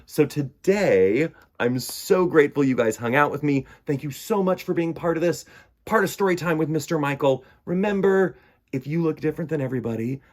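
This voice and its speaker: A man hosts a storytime for young children. His mid-pitched voice moves widely up and down as he reads and chats. His delivery is expressive and conversational, with simple phrasing meant for small listeners. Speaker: host of a children's storytime show. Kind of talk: children's picture book reading and chat.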